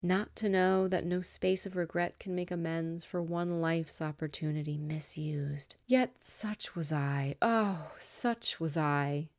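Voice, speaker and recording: A woman reading aloud, voicing a tormented male ghost in chains who speaks slowly and often sinks to a breathy whisper. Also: low voice, steady tone, no room sound. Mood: mournful. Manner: slow, dragging, breathy whispering delivery.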